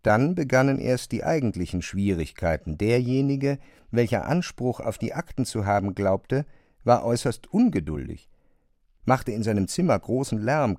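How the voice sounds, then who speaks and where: A man narrating a literary radio drama in a measured, precise way, as a composed observer. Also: medium pitch, a little mellow, no room sound, studio quality. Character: male narrator of an absurd bureaucratic tale, observant and composed.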